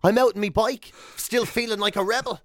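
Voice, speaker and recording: A man's voice: sits high, fairly even intonation, a little fast, a bit bright. An Irish man co-hosting a radio show with casual comedic banter, rambling, self-deprecating and free with swearing.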